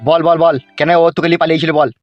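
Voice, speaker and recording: Cartoon village dad, a man acting: blunt, exasperated, high for a man, muffled audio. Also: level tone, very fast, natural voice. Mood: exasperated questioning. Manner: scolding, coarse, blunt. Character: gruff, exasperated middle-aged village father. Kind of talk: comic cartoon dialogue. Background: faint music.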